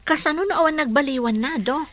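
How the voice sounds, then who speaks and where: A woman's voice: high-pitched, fast talker, a bit bright. A woman playing a polite, curious caregiver. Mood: hopeful. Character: attentive young female caregiver. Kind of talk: Ilocano radio drama acting.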